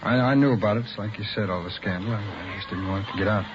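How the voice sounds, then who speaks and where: Man acting distraught working-class American husband, hesitant, fast-talking, old radio crime drama, hissy dull recording. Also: on the low side, lightly acted. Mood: bitter, dejected. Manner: hesitant, stammering, plain-spoken. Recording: muffled, noisy vintage radio recording.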